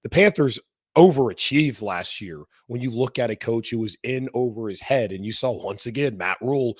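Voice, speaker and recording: Hoarse guy rambling about football, dry close sound. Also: medium pitch, lively intonation, moderate pace, no echo. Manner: casual, rambling. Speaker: podcast host. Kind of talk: sports podcast talk.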